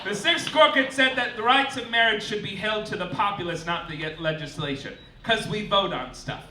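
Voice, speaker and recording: A high-pitched man hosts a live comedy show with arch, theatrical flair. He delivers satirical pronouncements like official rulings, campy throughout. The sound is roomy and distant, with clear noise behind him. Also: normal speed. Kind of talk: satirical stage monologue read as mock official announcements. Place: live stage in a club before an audience.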